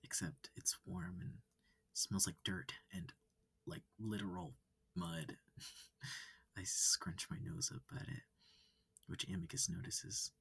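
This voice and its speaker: A man softly narrating a visual novel in a cozy, halting, often breathy reading. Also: deep voice, no room sound, in a whisper. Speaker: reader.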